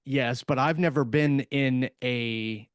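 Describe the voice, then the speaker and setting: A man's voice: mid-range voice, slow, clean tone, dry recording. A middle-aged man co-hosting a podcast, talking in a reflective, thinking-aloud way. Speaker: podcast co-host and author. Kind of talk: casual chat.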